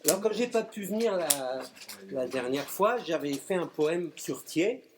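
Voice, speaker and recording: A man's voice: a little high, lively intonation, unhurried, background noise. A middle-aged man, a poet and clown, telling a story and reciting a comic rhyming poem at a live reading, with playful wordplay. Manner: playful wordplay, chuckling asides. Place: live poetry event room.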